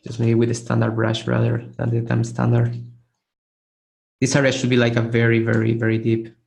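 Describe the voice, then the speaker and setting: A man teaching a live online art webinar, hoarse and losing his voice, who keeps clearing his throat and gives casual, encouraging feedback with frequent restarts. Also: mid-range voice, completely flat delivery, moderate pace, a little mellow. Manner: casual, encouraging, restarts phrases while demonstrating. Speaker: art instructor giving feedback. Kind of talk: live webinar critique of 3D sculpts.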